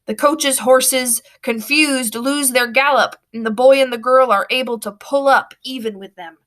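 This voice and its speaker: A woman reading a storybook aloud with expressive, dramatic narration. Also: high voice, moderate pace.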